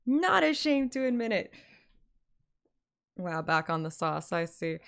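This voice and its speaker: A woman's voice: fairly low pitch, very animated, measured pace, creaky, fairly bright tone. A woman streaming a story game, moving between playful, self-mocking chat and dramatic read-aloud narration. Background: game music.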